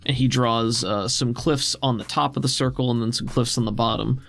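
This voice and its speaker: A man's voice: medium pitch, fairly even intonation, fairly quick, gravelly, a bit bright. A man improvising narration as the game master of a tabletop role-playing game.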